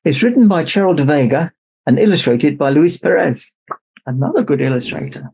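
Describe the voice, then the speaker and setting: Gravelly man reading a children's storybook. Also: fairly high pitch, expressive, fairly quick, darkish tone, low-quality recording. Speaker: storybook reader in Santa persona. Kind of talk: expressive children's read-aloud narration.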